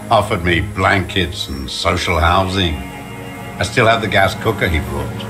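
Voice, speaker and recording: An actor playing a bitter old communist deserter, slow and contemptuous, in a video game. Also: male voice, low-pitched. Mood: bitter, defiant. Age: older. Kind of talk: dramatic video game voice acting. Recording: music under the voice.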